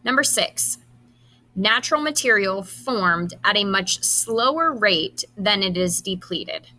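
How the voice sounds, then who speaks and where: A woman, a high school teacher, reads quiz questions aloud to students, enunciating each item deliberately and adding brief instructions. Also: lively intonation, sharp tone.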